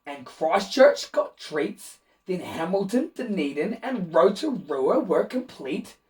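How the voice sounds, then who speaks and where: A man reading a rhyming children's picture book aloud in an expressive, animated way, with asides to young listeners. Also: high-pitched, distant mic. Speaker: children's storybook reader and host.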